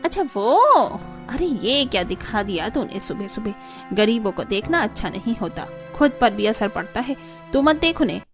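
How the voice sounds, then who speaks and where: A woman's voice: mid-range voice, animated, moderate pace, music in the background, natural voice. A woman playing a rich, snobbish middle-aged mother-in-law in a cartoon story, boastful and haughty. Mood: disdainful. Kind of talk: cartoon story dialogue acting.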